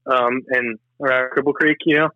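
A young man drifts through long, loose stories, swearing offhandedly as he goes. He sits at a middle pitch. His voice comes over a remote call line that sounds dull and lo-fi, with no room sound. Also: fairly even intonation, normal speed, no echo. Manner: rambling, meandering, swears casually. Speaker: podcast co-host. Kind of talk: casual chat.

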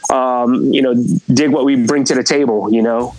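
A man in his late forties from Puerto Rico, a metal guitarist giving a relaxed, rambling interview with lots of fillers. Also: medium pitch, moderate pace, rough recording. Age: 40s. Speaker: metal band guitarist, guest. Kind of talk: casual interview.

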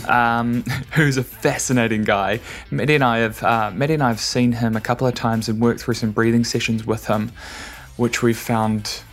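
Brief: laid-back New man, podcast host, casual, faint music underneath. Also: medium pitch. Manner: relaxed, with fillers.

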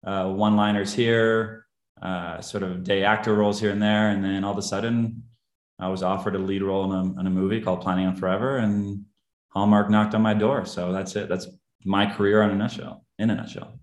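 A man born in Brazil with Portuguese as his first language, a guest actor chatting casually in an interview and telling his story in a relaxed, self-deprecating way. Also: lowish voice, a little fast, reverberant.

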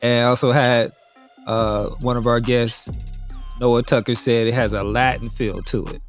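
Relaxed slangy male host. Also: medium pitch, normal speed, faint music behind. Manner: relaxed, slangy, asks loose questions. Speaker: podcast host. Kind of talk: casual interview chat.

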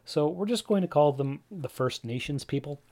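A man's voice: on the high side, animated, normal speed, mellow. A man in his forties hosting a casual solo show, rambling with frequent fillers and restarts and addressing his listeners directly. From Canada. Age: 40s. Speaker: podcast host.

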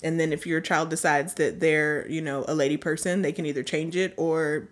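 A woman co-hosting a podcast in casual, jokey chat, laughing often and trailing off into quiet asides. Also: sits low, fairly even intonation, normal speed. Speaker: podcast co-host.

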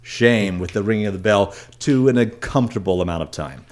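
A man's voice: lowish voice, expressive, a little fast. A man co-hosting a podcast, casually explaining things to the listeners. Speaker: podcast co-host.

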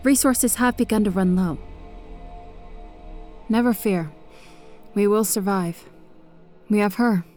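An actress playing a devout, confident machine-cult tech priest with a solemn, formal manner in an audio drama. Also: female voice, medium pitch, slow pace, pauses often, dark tone, close to an everyday voice. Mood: fervent, assured. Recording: faint music behind the voice.

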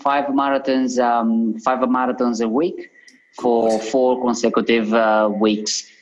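A man from Italy with an Italian accent, a podcast guest answering questions in a halting way with many fillers and restarts. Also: mid-range voice, level tone, muffled recording, slight background noise. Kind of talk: casual interview answers.

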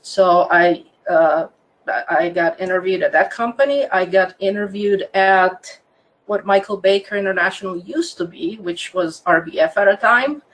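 A Croatian-accented woman in her fifties tells her story as a guest. She is chatty and warm-hearted in her telling, laughing often and doubling back on her words. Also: mid-range voice, moderate pace, rough recording. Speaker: guest, land surveyor. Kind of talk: casual interview storytelling.

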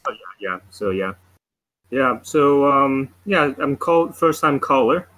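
A man's voice: medium pitch, lively intonation, slow pace, a bit bright, low-quality recording. A man from Taiwan calling in to a show, talking casually with many fillers and hesitations. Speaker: caller. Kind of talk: casual call-in chat.

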